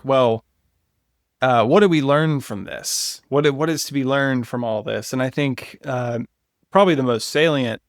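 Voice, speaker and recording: A man with a medium-pitched voice talks politics casually, as a podcast co-host does. His delivery is informal and opinionated, and the sound is dry, with no room echo.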